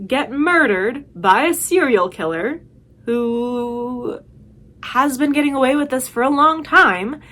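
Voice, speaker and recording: A woman's voice: high-pitched, bright, forceful tone. A woman talking casually as a video host, giving chatty, emphatic and enthusiastic recommendations.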